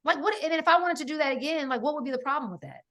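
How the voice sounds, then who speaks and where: A woman's voice: high-pitched, expressive, rapid-fire, pushed and bright. A middle-aged American woman guest in a casual conversation, telling stories emphatically with lots of "like" and "right?". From United States. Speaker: podcast guest.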